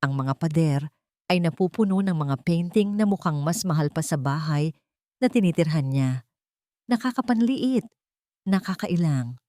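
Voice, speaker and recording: A woman with a Filipino accent narrates a scripted story in a measured, sentimental, dramatic way. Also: low-pitched, lively intonation, mellow, no room sound, studio quality. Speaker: narrator of a romance story channel.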